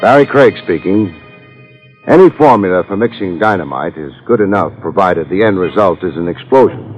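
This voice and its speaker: A man's voice: low-pitched, animated, normal speed. An actor playing a wry, hard-boiled male private investigator who narrates in slangy 1950s radio drama style. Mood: wry narration. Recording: dull old radio transcription with music.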